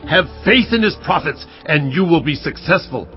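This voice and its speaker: A solemn, commanding king with a fairly high voice. He speaks with earnest weight as if addressing his people. The sound is slightly muffled, with faint music beneath. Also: expressive, moderate pace. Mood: rousing. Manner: solemn, commanding, pleading in prayer. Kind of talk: dramatised scripture reading.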